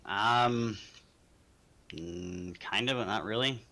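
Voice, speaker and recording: A man's voice: on the low side, takes it slowly, bright, forceful tone. A man playing a tabletop game, chatting casually with dry, cocky, sweary banter. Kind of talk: casual chat.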